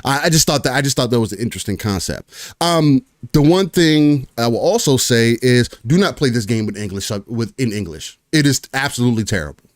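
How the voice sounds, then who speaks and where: A man chatting about games on a podcast, his voice mid-pitched and ordinary. He rambles, restarts sentences and fills gaps with ums. The sound is dry and close, with no room around it. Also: expressive, brisk, no echo. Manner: rambling, many fillers and restarts. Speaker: podcast host. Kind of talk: casual gaming chat.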